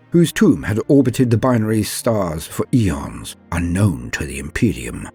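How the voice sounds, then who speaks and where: A man narrating war-fiction lore in a grave, theatrical manner, with deliberate phrasing that falls at the ends of lines. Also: lowish voice, warm tone, breathy edge. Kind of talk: dramatic scripted reading of war-fiction lore. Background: background music.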